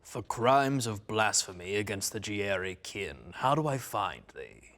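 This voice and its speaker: An actor playing a cruel, contemptuous executioner who passes judgment with pompous scorn in a theatrical fantasy table read. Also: male voice, lowish voice, expressive, a little slow, a bit bright. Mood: cold contempt.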